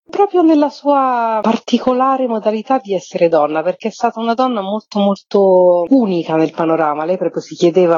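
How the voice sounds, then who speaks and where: A woman's voice: fairly low pitch, dark tone, no room sound, highs cut off. A woman giving an effusive, articulate and expansive explanation in a radio interview as a playwright. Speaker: playwright interviewed about her show.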